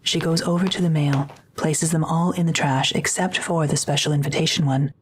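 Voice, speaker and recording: A woman narrates a drama from a script, describing action in the present tense. Her pitch is somewhat low and moves little, and there is slight noise in the recording. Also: fairly even intonation, moderate pace.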